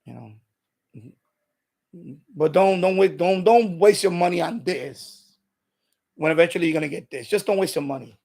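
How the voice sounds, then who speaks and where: Higher-pitched gravelly man, emphatic livestreamer. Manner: repeats himself for emphasis; emphatic, opinionated. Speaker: live stream host. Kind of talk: unscripted radio hobby livestream chat.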